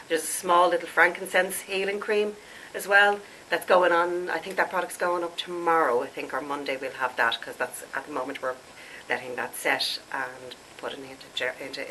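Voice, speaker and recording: Woman, late forties, deep but sharp-edged tone, congested, chatty rambling vlogger. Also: a little hiss or hum, poor audio. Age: late 40s. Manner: chatty, rambling, laughs at herself. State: congested, stuffed up. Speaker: skincare vlogger. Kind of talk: casual chat to camera.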